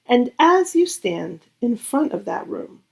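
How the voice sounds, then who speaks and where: Lady doing a kids book read-aloud, slow n gentle, bit of vocal fry, pauses for effect. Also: a little high, sing-song. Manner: gentle, deliberate storytelling delivery with dramatic pauses. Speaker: children's book reader.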